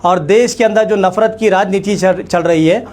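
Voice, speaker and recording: High-pitched man making a political statement. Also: normal speed, a little mellow. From India. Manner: emphatic, repeats phrases for effect. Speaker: organisation leader giving a public statement. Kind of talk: formal political address to camera.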